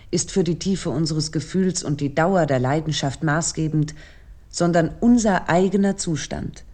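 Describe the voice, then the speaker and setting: Female essay narrator, lower register, very soft dark tone, measured and analytical delivery. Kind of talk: scripted literary lecture narration.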